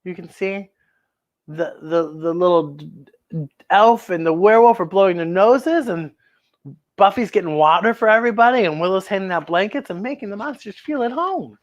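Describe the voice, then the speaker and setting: A woman's voice: mid-range voice, expressive, moderate pace, highs cut off. A woman hosting children's story time, reading a picture book aloud and exclaiming directly to young viewers.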